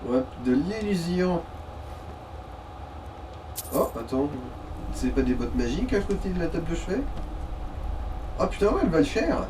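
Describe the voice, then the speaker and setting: Man, slightly high voice, slangy gaming banter, off-mic, noisy recording. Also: big pitch swings, moderate pace, pauses often. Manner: crude, slangy joking. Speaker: let's player co-commentator. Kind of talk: casual gaming chat. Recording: distant mic with clear noise.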